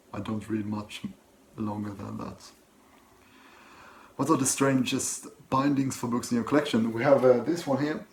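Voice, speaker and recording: A man from Sweden speaks English with a Swedish accent at a middle pitch. He is soft-spoken and a little hesitant, stopping on ums and starting phrases over as he answers questions from his desk at home. A faint hiss of room noise sits underneath. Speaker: book channel host. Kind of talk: casual Q&A vlog, reading and answering questions.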